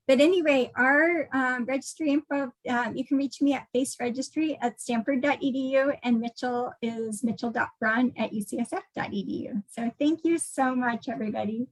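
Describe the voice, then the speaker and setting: A woman's voice: fairly high pitch, vocal fry, dry recording, low-quality recording. A woman researcher speaking informally at a virtual talk over a video call, thinking aloud in a hesitant way.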